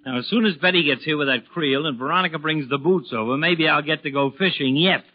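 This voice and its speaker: A man's voice: on the high side, fast, close to an everyday voice. A man playing an exasperated, blustery middle-aged suburban father in a 1940s radio comedy, with dry sarcasm. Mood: exasperated, eager. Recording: muffled vintage 1940s radio broadcast.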